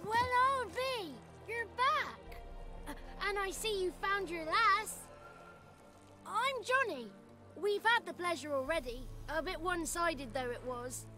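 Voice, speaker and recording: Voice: very high-pitched, animated, slow pace, soft background music, heavily acted. An actor voicing a small, cheeky, childlike male godling in a video game, chatty and rustic. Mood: cheerful, teasing. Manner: chatty, rustic old-fashioned turns of phrase. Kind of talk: video game character acting.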